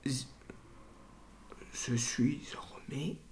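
A man's voice: drawn-out words, a little mellow, whispered. A man doing speech therapy exercises, speaking carefully and hesitantly as he practices sounds. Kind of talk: articulation exercises.

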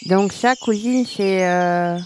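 A woman's voice: medium pitch, slow, darkish tone, slight background noise, close to an everyday voice. A woman playing a brash, business-obsessed city woman who talks brusquely and scornfully.

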